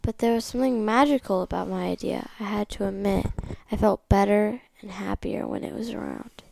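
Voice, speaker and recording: A woman's voice: mid-range voice, steady tone, fairly quick, gravelly, darkish tone. A woman reading a picture book aloud as the host of a read-along.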